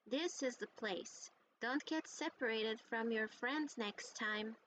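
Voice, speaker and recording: A woman voicing a gentle, helpful little dragon in a storybook tale from a video game, kindly and reassuring. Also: fairly high pitch, takes it slowly, a little hiss or hum, rough recording.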